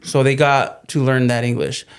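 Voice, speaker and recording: Man from California, Spanish first language, relaxed storyteller, mid-range voice. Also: medium pitch, moderate pace, smooth. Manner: easygoing storyteller, joking and laughing. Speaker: plumbing business co-founder, guest. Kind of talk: casual interview chat.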